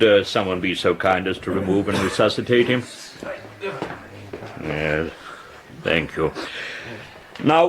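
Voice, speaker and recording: Anatomy professor male actor, unflappable, slow and deliberate with swooping intonation, courteous radio drama lecturing. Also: medium pitch. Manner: deliberate, matter-of-fact.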